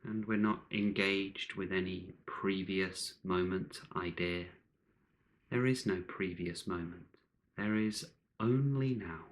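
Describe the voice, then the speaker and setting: A man leading a guided meditation in calm, measured short phrases with long pauses between them. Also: low voice.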